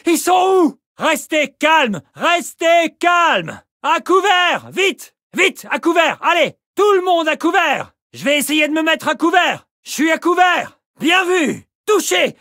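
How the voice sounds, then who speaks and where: A man's voice: very high voice, sing-song, takes it slowly, hardly any pauses, bright, forceful tone, no echo, clean studio sound, heavily acted. A male actor playing a fierce French army soldier in a video game, barking curt, urgent, aggressive battle lines. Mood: urgent, commanding. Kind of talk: shouted video game combat barks.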